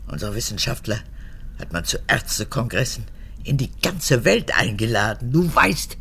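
Creaky, volatile old woman, coldly proud. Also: a bass voice, big pitch swings, fairly bright tone, full character voice. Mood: scornful, agitated. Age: older. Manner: haughty, emphatic, halting. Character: unrepentant old mother, former camp guard, cold, proud, volatile. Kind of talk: German radio drama acting.

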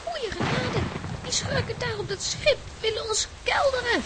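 A woman playing a bossy, excitable aunt in a children's adventure audio drama, theatrical and exclamatory. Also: very high-pitched, moderate pace. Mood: alarmed. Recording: loud ambient noise behind.

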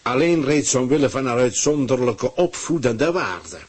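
An actor playing a pompous, power-hungry government ruler in a radio play, declaiming with a husky voice. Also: male voice, mid-range voice, lively intonation, normal speed. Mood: grandiose.

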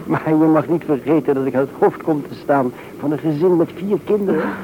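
Hesitant gentle fiancé played by an actor, noisy recording. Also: male voice, fairly high pitch, fairly quick, lo-fi sound, lightly acted. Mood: thoughtful. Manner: hesitant, soft-spoken. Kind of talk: Dutch radio play acting. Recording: noisy old radio play recording.

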